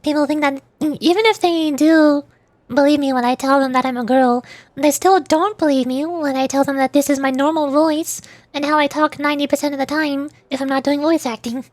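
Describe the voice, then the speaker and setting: A woman with a small, squeaky, girlish voice, chatting casually and earnestly to viewers on a livestream. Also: steady tone, a little fast, darkish tone, no room sound. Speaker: streamer and VTuber, voice actor.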